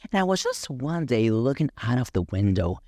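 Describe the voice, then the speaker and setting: A man's voice: mid-range voice, very animated, a little fast, a little mellow, no echo, clean studio sound. A man with a Swiss accent, co-hosting a casual chat that reviews a show, telling stories and talking straight to viewers. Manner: chatty, storytelling, addresses viewers as you guys. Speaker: drag queen podcast co-host.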